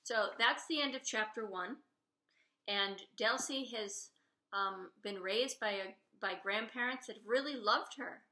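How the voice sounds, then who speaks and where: Female author, expressive storybook read-aloud with informal asides, bright tone, roomy echo. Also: on the high side, unhurried. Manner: openly fond of the characters. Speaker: author reading own novel. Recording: roomy, echoey room sound.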